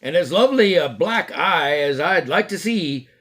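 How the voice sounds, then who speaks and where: A man voicing a cheeky, rough-spoken man in a street crowd. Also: a little high, lively intonation, normal speed, fairly bright tone, voice pushed up. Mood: jeering.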